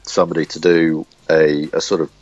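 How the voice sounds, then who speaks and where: Really low-voiced guy in a casual interview, kinda halting, repeats words, muffled phone recording. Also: flat delivery, dry recording, faint music behind. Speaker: interviewed comic book writer. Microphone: smartphone recorder.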